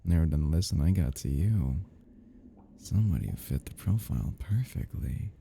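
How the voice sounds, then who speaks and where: A man playing an obsessive, smug and playful stalker in an intimate roleplay audio, husky and gravelly, teasing and drawling, with drops into whispers. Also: extremely low pitch, expressive, normal speed, warm tone, slight background noise, full character voice. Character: obsessive, smug, playful male yandere crime scene cleaner stalker.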